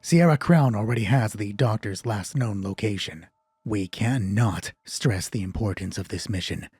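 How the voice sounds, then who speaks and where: A man's voice: fairly low pitch, normal speed, darkish tone, husky, no echo, studio quality. A man voicing a cold, authoritative corporate commander who gives a mission briefing in a clipped, formal manner. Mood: cold, stern. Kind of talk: video game style mission briefing.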